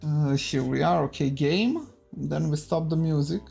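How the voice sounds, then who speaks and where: A man's voice: on the high side, moderate pace, creaky, dark tone, breathy edge, faint music behind. A man from Romania with a Romanian accent, hosting and casting a live esports stream. He talks to his viewers in a chatty way, repeats words and keeps adding "okay?" and "guys". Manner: hyping up the viewers. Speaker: esports caster and stream host. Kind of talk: solo live stream commentary.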